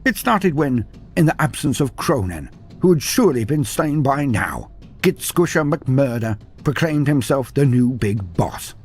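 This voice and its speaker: A man's voice: mid-range voice, very animated, unhurried, slightly rough, soft background music. A man giving scripted dramatic narration of fantasy war lore in a theatrical, wry storybook manner.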